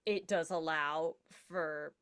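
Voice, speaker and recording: A woman gives casual analysis as a guest on a podcast panel. Her voice sits a little lower than most women's. She phrases things articulately, with a wry edge. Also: steady tone, takes it slowly, a bit bright, clean tone.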